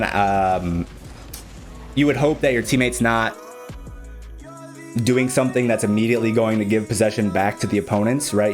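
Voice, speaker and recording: A young man with a Chicago accent, casually explaining game replays as a streamer and coach, speaking directly to the player. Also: medium pitch. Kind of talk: live-streamed replay analysis commentary.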